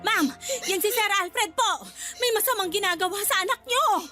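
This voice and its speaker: Radio drama: flustered Filipino housemaid, deferential, very high bright voice, faint music. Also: female voice, normal speed. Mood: urgent, earnest. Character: loyal, distressed household nanny.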